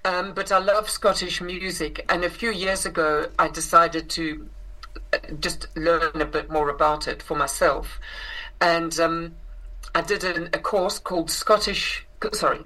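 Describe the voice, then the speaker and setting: A woman's voice: low voice, steady tone, measured pace, sharp tone, rough recording. A woman with a South African accent, speaking as an interview guest in a conversational, explanatory way, hesitating and correcting herself as she goes. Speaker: interview guest, singer and actress.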